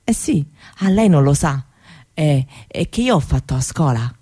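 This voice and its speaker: A woman playing a candid, proud seventeen-year-old Roma girl in a radio monologue, with a Roman Italian accent and halting colloquial talk that often seeks agreement. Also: sits low, lively intonation, dark tone, breathy edge. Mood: chatty, earnest. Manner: colloquial, halting, seeking agreement with little tag questions. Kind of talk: conversational theatrical monologue for radio.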